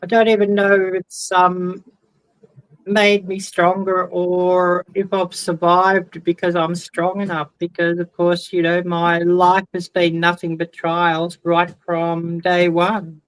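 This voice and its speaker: A woman's voice: lowish voice, completely flat delivery, slow pace, smooth, dry recording, low-quality recording. A woman talking over a video call as a guest, hesitant and full of ums. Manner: hesitant, many ums, soft trailing. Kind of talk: casual video call chat.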